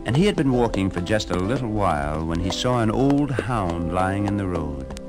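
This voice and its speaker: A man narrating a storybook tale for a shadow puppet show in an expressive way. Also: low voice, moderate pace, over music.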